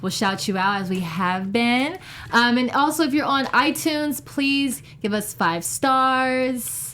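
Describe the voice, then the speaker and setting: A woman's voice: a little high, slow, a bit bright, slight background noise. A woman talking casually and informally as a panelist on a fan recap show. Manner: informal, frequent "like". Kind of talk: casual chat.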